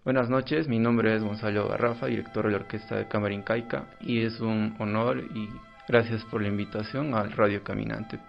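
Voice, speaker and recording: Male interview guest, Peruvian accent, gravelly fry, dull sound, faint music underneath. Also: fairly low pitch, fairly even intonation, normal speed, hardly any pauses. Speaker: orchestra director, interview guest. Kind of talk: informal interview answers.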